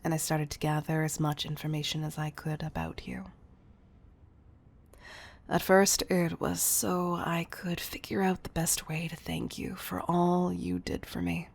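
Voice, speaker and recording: A woman playing a wealthy, obsessive CEO in an intimate audio roleplay, speaking in a breathy, gravelly murmur that is affectionate and confessional. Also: fairly low pitch, little pitch movement, unhurried, full character voice. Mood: confiding, possessive. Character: wealthy obsessive female CEO, tender yet controlling. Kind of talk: intimate audio roleplay monologue, partly whispered.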